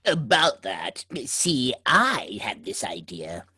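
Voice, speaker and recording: Brief: man voicing a sheepish meddling ghost, stammers, slow, husky. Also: on the low side, big pitch swings, pushed and bright, dry recording, studio quality, heavily acted. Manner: hesitant, stammering, theatrical.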